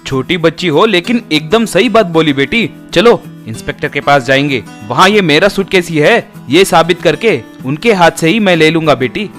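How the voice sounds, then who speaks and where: A man voicing a protective, honest lawyer of fifty in an animated moral story, with a fatherly, assertive manner. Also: on the high side, moderate pace, faint music behind. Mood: fatherly, concerned. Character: protective, honest fifty-year-old male lawyer, avuncular.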